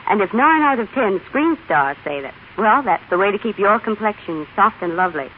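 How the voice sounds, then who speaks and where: An actress playing a charming, confident young fashion editor, polished and persuasive, in an old radio advertisement. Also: female voice, medium pitch, animated, brisk, close to an everyday voice. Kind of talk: scripted 1930s radio advertisement. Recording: tinny, hissy old radio recording.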